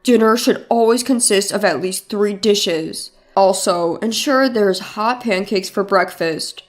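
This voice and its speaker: Woman as domineering husband, story narration, bossy, lecturing, pitched down, faint music behind. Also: measured pace, close to an everyday voice. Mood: demanding. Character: domineering, demanding, condescending husband.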